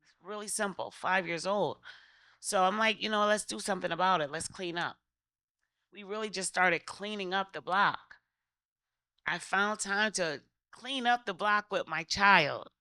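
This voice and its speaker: A woman's voice: on the low side, sharp tone, clean tone, dry recording, studio quality. A middle-aged American woman from Connecticut speaking as a legislator on a panel, conversational and often interrupting herself. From Connecticut, United States. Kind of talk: panel discussion, personal anecdote.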